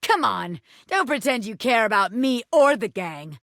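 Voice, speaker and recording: A scrappy little ex-gangster turned showgirl for a video game. The voice is high and forceful, swinging widely in pitch with cocky, streetwise swagger. It is recorded very dry and close. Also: big pitch swings, a little slow, no room sound, heavily acted. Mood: angry, bitter. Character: brash, scrappy bobby pin, ex-gangster turned flirty magician showgirl. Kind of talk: animated video game character acting.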